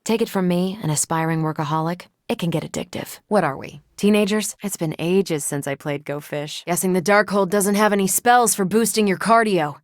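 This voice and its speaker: A woman with an American accent playing a confident, wry superheroine and former Air Force pilot in a video game, dry, self-assured and quick to wisecrack. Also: lowish voice, no echo, close to an everyday voice.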